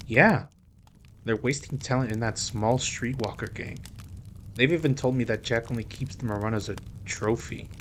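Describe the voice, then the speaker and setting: A man's voice: mid-range voice, normal speed, a bit bright, lightly acted. Man acting a suave mob boss, faint noise. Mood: relaxed, fond. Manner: teasing, smooth, code-switches into Spanish. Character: suave, playful male mafia boss. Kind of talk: audio roleplay drama acting.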